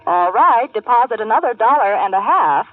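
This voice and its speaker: A woman's voice: a little high, lively intonation, moderate pace. Female switchboard operator, formal lilting delivery, vintage radio style, thin phone-line sound with noise. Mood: formal, businesslike. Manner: formal, sing-song, procedural. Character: brisk, formal female long-distance telephone operator. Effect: telephone filter. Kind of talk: 1940s radio acting. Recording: tinny phone line, noisy old radio recording.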